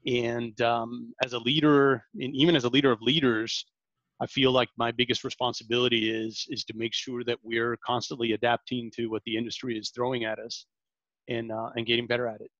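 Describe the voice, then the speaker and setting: A man in his forties from Venezuela speaks as a podcast guest, pausing often on ums and restarting his sentences. The sound is dull and a bit lo-fi, with a dry, close feel. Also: mid-range voice, flat delivery, a bit bright, dry recording. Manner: halting, many ums and restarts. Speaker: sales director, podcast guest. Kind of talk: interview conversation.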